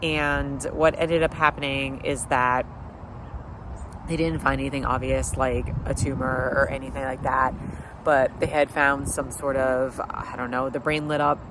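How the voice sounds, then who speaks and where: A man's voice: mid-range voice, flat delivery, a little slow. A man with a stuffy nose from a summer cold, talking casually in a rambling video diary, full of fillers and wry sarcasm, sitting outdoors on a curb. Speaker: vlogger. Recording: street traffic noise. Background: passing traffic.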